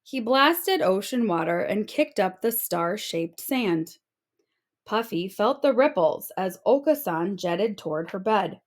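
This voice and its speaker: A woman narrating a children's storybook aloud in an expressive storytelling manner. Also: medium pitch, slow pace. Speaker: storybook reader.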